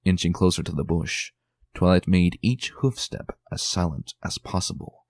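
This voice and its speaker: A man giving a dramatic, expressive reading of a fan story as narrator. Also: sits low, normal speed, dry recording, clean studio sound. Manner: expressive, tense and theatrical. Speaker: fan reader.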